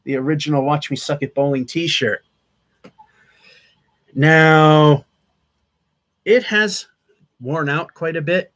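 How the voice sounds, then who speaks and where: A man's voice: a little high, steady tone, takes it slowly, long pauses, a bit bright. Rambling man talking to his webcam. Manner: rambling, chatty, with fillers and restarts. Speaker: channel host. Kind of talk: casual vlog update. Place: small attic room with sloped wood-paneled ceiling. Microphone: webcam at desk distance.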